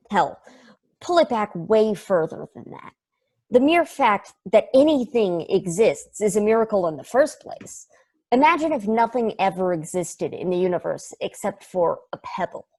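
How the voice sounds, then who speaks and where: A woman's voice: medium pitch, darkish tone, husky. A woman playing a skeptical, philosophizing space probe, wry, salty and argumentative in a conversational table read.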